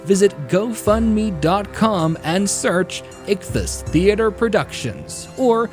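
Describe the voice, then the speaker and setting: High-pitched, animated male radio announcer. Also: takes it slowly. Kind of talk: scripted programme credits and sponsor read. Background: music.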